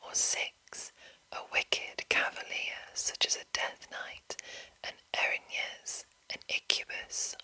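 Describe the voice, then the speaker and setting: A woman speaking in an unvoiced whisper, hushed and deliberate, as an ASMR host reading aloud from a game book. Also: low-quality recording. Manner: hushed, slow, deliberate enunciation.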